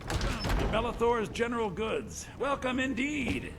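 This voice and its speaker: An actor playing an eager, oily, greedy shopkeeper in a fantasy video game, with theatrical, ingratiating sales patter. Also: male voice, very high-pitched, expressive, unhurried, creaky, full character voice. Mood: eager, fawning. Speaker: general store merchant.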